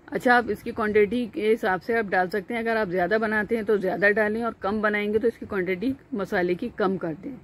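A woman with a Pakistani Urdu accent talks through a recipe as she cooks, in a calm, instructive way. Her voice sits at a medium pitch, with frequent "uh" fillers and restarts. She is recorded close on a phone in a home kitchen, with faint background noise. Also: rough recording. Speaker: cooking channel host. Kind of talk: step-by-step cooking narration.

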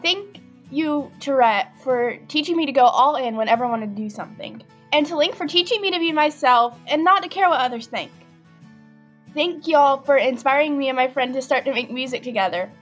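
Young woman, high bright-pitched voice, heartfelt, dull lo-fi webcam sound with faint music. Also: brisk. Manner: heartfelt, listing thanks in a steady build. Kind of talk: casual fan message to camera.

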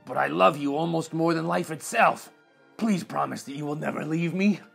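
Storybook beast, acted by a male reader: husky, grave, self-loathing and gentle, pleading with the one he loves. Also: a little high, normal speed, soft background music, heavily acted. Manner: grave, pleading, sighing delivery.

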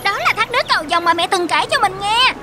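A woman voicing an eager, sweet little girl in an animated cartoon. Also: very high voice, fast, over loud music. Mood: awed, grateful.

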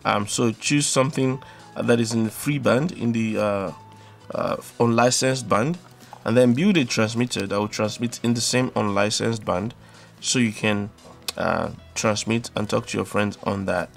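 Gravelly man giving electronics tutorial. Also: lowish voice, measured pace, faint music behind. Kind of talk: hands-on tutorial explanation.

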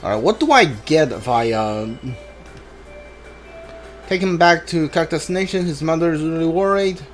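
A man giving casual video game commentary, thinking aloud haltingly and reading out on-screen text as he plays. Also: a little high, animated. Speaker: video game streamer doing a playthrough. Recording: game music behind the voice. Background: video game music.

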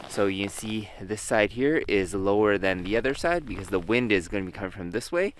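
A man's voice: lowish voice, lively intonation, brisk, a little hiss or hum. A man casually explaining his winter camp setup outdoors at a snowy campsite, vlog style. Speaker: outdoor vlogger.

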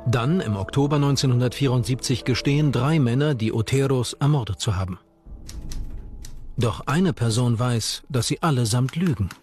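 A man's voice: mid-range voice, normal speed, a little mellow. Male true crime narrator over music. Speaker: documentary narrator. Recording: music under the voice. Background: background music.

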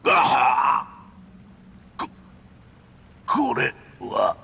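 An actor playing an arrogant diplomat in a Japanese video game, speaking in a haughty, halting, gasping way. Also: male voice, mid-range voice, wildly swooping pitch, creaky, sharp tone, a little hiss or hum, exaggerated voice. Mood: shocked, pained. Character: arrogant male diplomat schemer, poisoned and weakening. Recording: music behind the voice.

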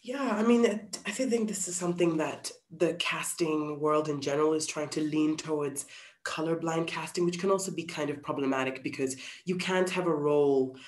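A woman's voice: sits low, moderate pace, a little mellow. Woman from Zambia in an echoey room. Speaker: actor being interviewed. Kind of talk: thoughtful interview answers. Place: small plain-walled room indoors. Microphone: computer mic on video call. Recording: roomy, echoey video call.

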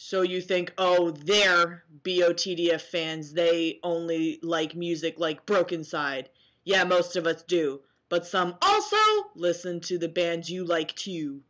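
Bright sharp voiced girl in her 20s, takes her time, sarcastic vlogger doing mock dramatic readings. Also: on the low side, fairly even intonation, measured pace, dull audio. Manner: sarcastic, theatrical, exaggerated when reading. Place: small dim bedroom, indoors.

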